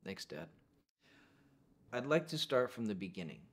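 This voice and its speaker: Young man hosting, low voice. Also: sing-song, moderate pace, a bit bright. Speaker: podcast host. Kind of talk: podcast interview.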